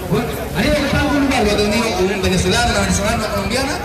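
Hombre chileno burlón ante un bar ruidoso. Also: male voice, high-pitched, very fast. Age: 40s. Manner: teasing, slangy, quizzes audience members. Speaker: stand-up comedian. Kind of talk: crowd-work stand-up comedy. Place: crowded outdoor bar terrace. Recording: noisy crowd, distant and echoey.

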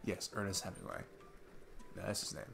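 A young man playing an awkward, chatty single dad on a date in an ASMR roleplay, rambling haltingly and self-deprecatingly. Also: low voice, sing-song, vocal fry, exaggerated voice. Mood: flustered. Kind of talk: ASMR boyfriend-style roleplay, casual improvised-sounding chat. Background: background music.

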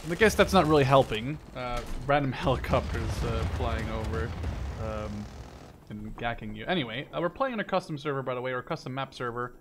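A man's voice: mid-range voice, animated, a little fast, background noise. A man giving casual, rambling gameplay commentary, informal and given to mild swearing. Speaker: gamer and video host.

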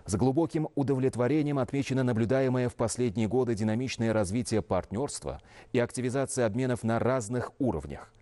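A man in his thirties reading scripted news as an anchor in a formal, measured, official manner. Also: medium pitch, dark tone. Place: television news studio.

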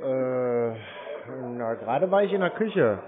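A man's voice: mid-range voice, expressive, takes it slowly, noisy background. A man chatting casually on the phone in speech, rambling and feigning naivety. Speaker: prank call recipient baiting phone scammers. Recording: narrow tinny phone-line sound.

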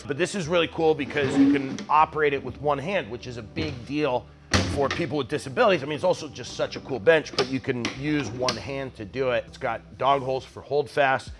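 Upbeat man pitching from his workshop. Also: background noise. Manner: enthusiastic, upbeat, conversational with fillers. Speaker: woodworking channel host. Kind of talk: casual direct-to-camera pitch. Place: mostly empty woodworking shop, indoors.

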